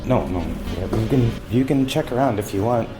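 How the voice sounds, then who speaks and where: An actor playing an uneasy, compliant new homeowner in a fiction podcast, a man talking casually in a halting, hedging way. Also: mid-range voice, over music, lightly acted. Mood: defensive, hesitant. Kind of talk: naturalistic fiction podcast dialogue.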